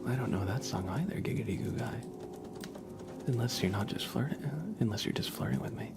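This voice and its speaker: A man hosting a relaxed livestream in a soft, hushed, easygoing voice. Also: mid-range voice, brisk, gravelly, very noisy. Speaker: livestream host and ASMR streamer. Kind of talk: soft casual livestream chat between songs.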